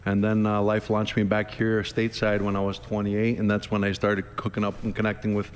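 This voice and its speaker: A man in his thirties who grew up in Greece, a podcast guest telling lively stories about his travels and his faith, laughing as he goes. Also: fairly low pitch, fairly quick, smooth, in a large hall. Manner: energetic, laughs through stories, faith-driven. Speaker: podcast guest, youth ministry worker. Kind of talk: casual storytelling.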